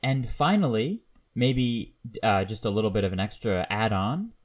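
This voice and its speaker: A man's voice: lowish voice, very animated, normal speed. A man hosting a podcast interview in an informal, agreeable way with slightly hesitant phrasing. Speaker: podcast host. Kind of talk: casual interview.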